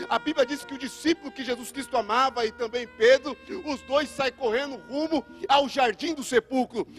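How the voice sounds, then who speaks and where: A man's voice: extremely high pitch, a bit bright. A Brazilian man preaching an impassioned church sermon, retelling Bible stories emphatically, repeating phrases and dramatizing the dialogue. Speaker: evangelist preacher.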